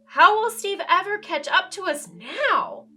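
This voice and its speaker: A woman voicing a determined but concerned young girl in a children's storybook, earnest and expressive. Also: very high-pitched, a little slow, soft background music, pitched above the performer's own voice, exaggerated voice. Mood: worried.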